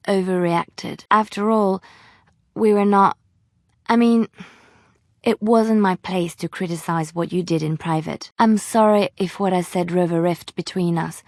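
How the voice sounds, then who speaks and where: A woman's voice: medium pitch, a little mellow, dry recording, clean studio sound, lightly acted. A woman playing an earnest, tender-hearted young adventurer in a fantasy video game, speaking hesitantly and sincerely. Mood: sheepish. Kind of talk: dramatic video game dialogue.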